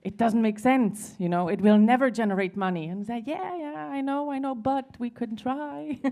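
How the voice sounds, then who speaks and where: A woman's voice: fairly high pitch, normal speed, warm tone. A woman from Switzerland speaking as a panelist in a conversational discussion, often hesitating and repeating words as she searches for them. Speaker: panelist at a conference.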